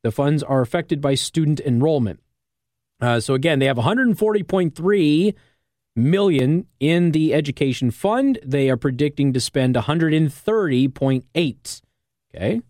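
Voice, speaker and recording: A man's voice: on the high side, lively intonation, normal speed, darkish tone, no echo, studio quality. An American man speaking as a radio talk show host, explaining things conversationally and often checking that listeners follow. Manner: explanatory, checks in with "okay?" and "all right?". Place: radio studio. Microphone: close studio microphone.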